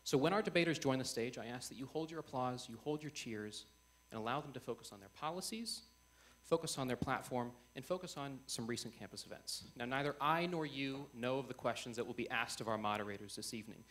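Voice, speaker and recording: A young man speaking as host of a student debate, in a measured, emphatic public-speaking delivery before an audience. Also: medium pitch, expressive, fairly bright tone. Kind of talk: formal speech introducing a debate. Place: on stage before a live audience. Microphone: event microphone.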